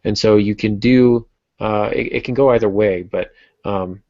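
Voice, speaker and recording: A man's voice: lowish voice, steady tone, measured pace, dark tone, no room sound, highs cut off. A man hosting a casual podcast panel discussion, talking conversationally as a writer among colleagues. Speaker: podcast host and novelist.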